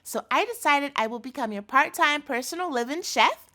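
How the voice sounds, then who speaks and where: A woman's voice: sits high, animated, moderate pace, pushed and bright. A woman playing an obsessive chef girlfriend in a scripted audio roleplay, sugary and coaxing with a threatening edge, speaking intimately to the listener. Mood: sweet, doting. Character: obsessive yandere chef girlfriend, sweet but menacing.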